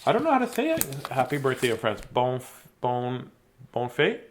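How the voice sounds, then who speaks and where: A man's voice: mid-range voice, wildly swooping pitch, normal speed, slight background noise. A middle-aged man chatting casually and reading children's stories in a silly, rambling, self-deprecating way. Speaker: children's story reader, host. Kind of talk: casual livestream chat and bedtime story reading.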